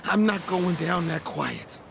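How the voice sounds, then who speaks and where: An actor playing a brash, hot-headed young male gang thug in a video game, loud, cocky and slangy. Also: sits high, lively intonation, slow, gravelly, background noise, full character voice. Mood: angry blustering. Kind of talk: video game cutscene acting. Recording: music behind the voice.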